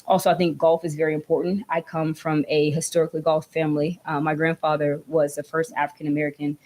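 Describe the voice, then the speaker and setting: A woman's voice: deep voice, flat delivery, fast talker, darkish tone. A young woman from Georgia making an earnest, heartfelt appeal during public comment at a city council meeting, often tagging her points with "right?" and "you know.". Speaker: community speaker addressing city council. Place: council chamber.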